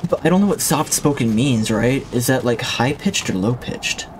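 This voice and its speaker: A man's voice: mid-range voice, lively intonation, normal speed, slight background noise. A man streaming a video game who chats with his viewers in a rambling, reflective way, often restarting his sentences, on a streaming mic with a limiter. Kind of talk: casual chat while livestreaming a game.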